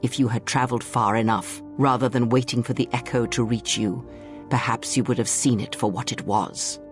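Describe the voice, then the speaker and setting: An older woman playing a bitter, contemptuous old Sith master in a video game, speaking in a theatrical, deliberate, preaching way. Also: very deep voice, fairly even intonation. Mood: scornful, intense. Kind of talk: dramatic video game monologue. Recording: music behind. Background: music.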